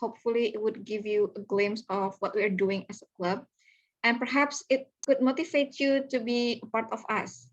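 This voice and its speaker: A woman's voice: fairly high pitch, fairly even intonation, normal speed, dull audio. A woman, earnestly walking listeners through slides in an online club presentation over a video call. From Indonesia. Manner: earnest, welcoming, talks through slides step by step.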